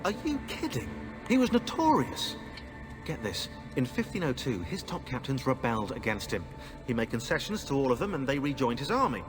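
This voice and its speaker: An actor playing an eager, informal history enthusiast telling stories casually in a video game. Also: male voice, medium pitch, fairly quick, big-room sound, music in the background. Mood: enthusiastic. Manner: animated, informal. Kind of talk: casual conversational game acting.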